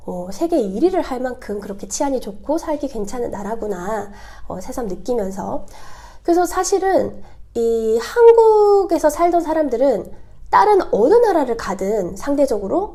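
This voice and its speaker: A female vlogger from South Korea talks casually to her viewers. Her voice sits fairly high but has a mellow, soft-edged tone, hoarse and stuffed up with a cold. She explains things in a chatty, conversational way. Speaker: vlogger hosting own channel.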